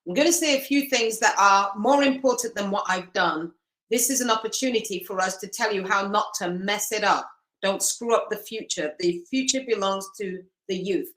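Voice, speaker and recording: A woman's voice: mid-range voice, normal speed, fairly bright tone, echoey room, low-quality recording. A woman speaking as a panelist on a video call, blunt and joking.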